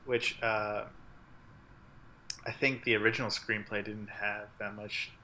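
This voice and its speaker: A man's voice: lowish voice, little pitch movement, measured pace, pushed and bright, a little hiss or hum, poor audio. A man co-hosting a casual movie podcast, talking in a rambling way. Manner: rambling, many fillers and restarts. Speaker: podcast co-host.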